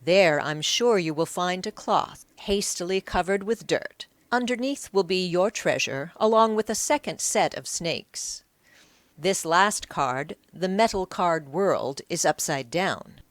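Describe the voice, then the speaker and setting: A woman playing a shrewd, measured male Egyptian sleuth. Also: lowish voice, no room sound. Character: shrewd male ancient Egyptian slave investigator posing as fortune-teller. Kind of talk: audiobook character acting.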